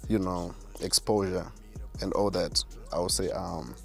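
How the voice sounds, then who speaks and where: A man with a deep voice, a self-assured artist answering interview questions. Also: steady tone, a little slow, gravelly, fairly bright tone, soft background music. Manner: self-assured, boastful about his skill. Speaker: rapper and artist, guest.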